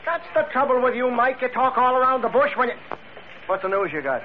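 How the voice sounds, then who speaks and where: An actor playing a cranky, impatient city editor in 1940s radio style, brusque and scolding. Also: male voice, very high voice, expressive, brisk. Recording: tinny, very noisy old radio recording.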